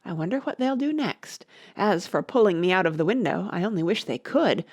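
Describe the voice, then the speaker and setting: A woman's voice: medium pitch, animated, a little fast, a little mellow, no room sound, clean studio sound. A woman voicing a young girl who muses to herself with self-assurance in a storybook. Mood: musing. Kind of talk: storybook character voice.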